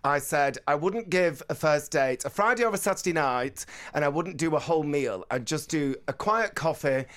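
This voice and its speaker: A lively man from the north of England, hosting a relaxed radio talk with his partner. His pitch sits a little high and swings widely, and he teases and laughs as he talks. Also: normal speed. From England, Bolton. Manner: animated, laughs often, teasing. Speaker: co-host. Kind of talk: casual radio chat.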